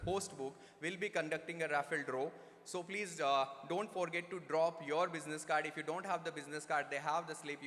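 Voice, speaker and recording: Bright-voiced male emcee in a hall. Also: fairly high pitch. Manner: courteous and upbeat, building to an introduction. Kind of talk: formal event announcing. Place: large event hall. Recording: long hall reverb.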